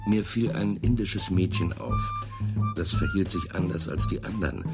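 A matter-of-fact detective played by a man in a German radio crime drama. His voice is low and he reports earnestly and plainly, with loud music behind him. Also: normal speed, lightly acted. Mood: earnest, factual.

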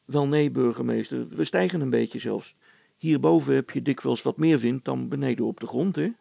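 A man's voice: medium pitch, moderate pace, gravelly, dark tone, breathy edge, no room sound. A man voicing a cheerful, confident village smith and inventor in a storybook, breezy, reassuring and matter-of-fact. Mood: calm, amused.

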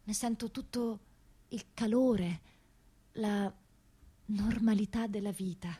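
Slow, reflective woman narrating radio theatre. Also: mid-range voice, mellow, breathy edge. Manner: measured, reflective delivery with long pauses. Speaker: actor-author narrating a monologue. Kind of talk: dramatic radio theatre narration in first person.

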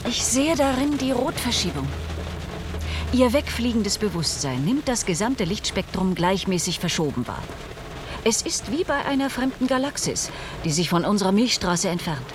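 Through clear background noise comes an actress playing a composed woman scientist in a radio play. She explains her ideas earnestly and precisely, in formal sentences. Her intonation moves widely while she keeps her measured, serious manner. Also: medium pitch, big pitch swings, natural voice. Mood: calm, explanatory. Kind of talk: German radio play acting.

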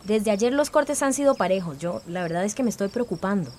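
A woman's voice: medium pitch, animated, fairly quick, a little mellow, a little hiss or hum, lightly acted. An actress playing a worried, practical village woman in a radio drama, with a Central American accent.

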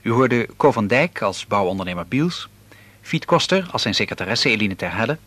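A man's voice: on the low side, very animated, normal speed, a bit bright. A man working as a radio announcer, reading scripted broadcast credits.

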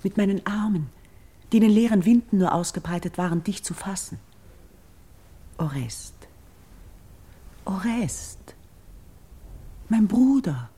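An actress playing a noble priestess and tender, pleading sister in a classical verse radio drama, solemn and breathy, in measured declamation. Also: female voice, mid-range voice, expressive, warm tone. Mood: tender, moved.

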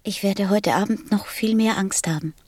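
A woman playing a gentle, wistful small boy from a star in a German radio play, speaking softly, tenderly and solemnly. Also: mid-range voice, normal speed, no echo, natural voice. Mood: quiet, apprehensive. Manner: tender, solemn, simply spoken.

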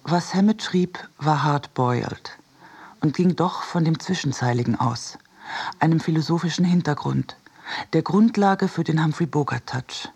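A man reading as a reflective, wry essayist in a measured, precise literary radio narration. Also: fairly high pitch, darkish tone. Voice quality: husky, creaky. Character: reflective essayist and cinema-goer, wryly critical.

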